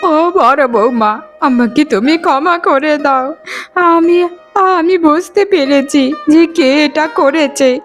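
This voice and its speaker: A woman's voice: sits high, measured pace, soft background music. A woman playing an elderly, righteous mother-in-law in a cartoon, with a dramatic manner that repeats words for emphasis. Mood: remorseful. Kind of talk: Bengali animated moral-story voice acting.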